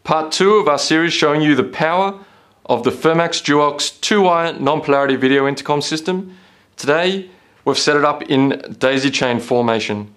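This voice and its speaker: A man's voice: fairly high pitch, fairly bright tone. A man giving an instructional product demonstration as a company presenter. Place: small indoor meeting room. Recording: clearly noisy room recording.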